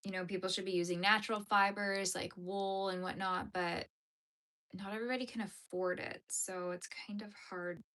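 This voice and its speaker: A woman in her early thirties talks casually as she knits. Her speech rambles and is full of fillers, at an everyday middle pitch. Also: steady tone, moderate pace, fairly bright tone. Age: 30s. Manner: rambling, chatty, lots of fillers. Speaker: knitting channel host. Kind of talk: casual chat while knitting.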